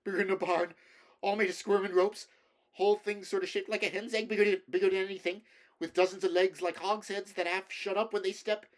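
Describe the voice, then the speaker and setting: A man's voice: high-pitched, flat delivery, a little fast, voice pushed up, lightly acted. A man voicing a terrified rustic villager in a horror story reading, stammering and babbling frantically in dialect. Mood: frantic terror. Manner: shrieking, stammering, frantic rural dialect speech.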